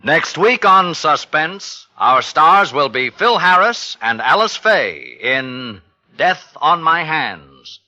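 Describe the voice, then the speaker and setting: A male announcer speaks slowly, with wide swings in a slightly high voice. It is dramatic mid-century radio presentation, set over prominent music. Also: big pitch swings, pushed and bright, lo-fi sound. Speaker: suspense programme announcer.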